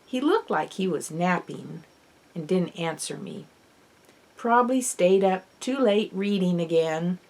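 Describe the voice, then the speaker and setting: A woman author reading her own children's novel aloud in the first person, as expressive, dramatic storytelling. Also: fairly low pitch, measured pace. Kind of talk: audiobook narration of children's fiction, first person.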